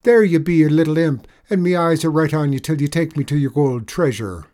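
A man voicing a stubborn, quick-witted Irish tradesman who talks in a hearty brogue. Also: a little high, expressive, voice pushed up, natural voice. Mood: triumphant. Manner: bold Irish brogue, shouting.

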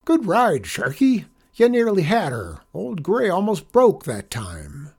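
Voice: medium pitch, wildly swooping pitch, slow, darkish tone, breathy edge, voice pushed up, exaggerated voice. A narrator voicing an exuberant male school janitor who hollers and coaches like a folksy rodeo cowboy in a children's story. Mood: coaching, folksy.

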